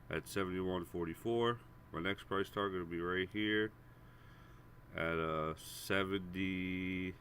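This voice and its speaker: A man's voice: low voice, a bit bright, a little hiss or hum. A man with a stuffy head cold hosts a live stream, casually walking viewers through chart levels and price targets. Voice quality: congested, nasal. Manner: casual, repetitive, addresses viewers as guys. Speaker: stock trading streamer. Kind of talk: live premarket chart walkthrough.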